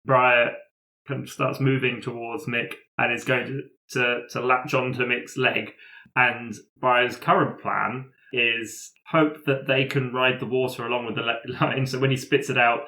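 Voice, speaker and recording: A man playing a tabletop roleplaying game, casually and haltingly improvising what his character does. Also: medium pitch, steady tone, bright, forceful tone. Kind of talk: casual improvised play, describing character actions. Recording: echoey room.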